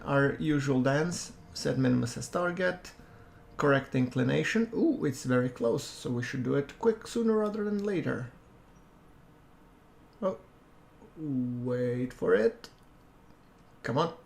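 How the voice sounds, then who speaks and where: A man giving relaxed, chatty commentary over a space-game playthrough, with a soothing voice and self-deprecating asides. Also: mid-range voice, gravelly. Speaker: gameplay commentator. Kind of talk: casual let's-play commentary.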